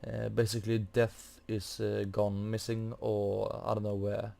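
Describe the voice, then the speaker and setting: A man's voice: fairly low pitch, completely flat delivery, unhurried, vocal fry, dark tone. A man giving casual commentary as he plays through a game, hesitantly thinking aloud. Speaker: gamer narrating a quest playthrough.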